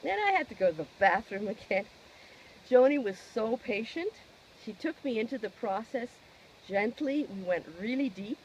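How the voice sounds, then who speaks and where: A woman giving a personal testimonial in an expressive, emphatic way, posing questions and answering them herself. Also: a little high, normal speed, a little hiss or hum, rough recording.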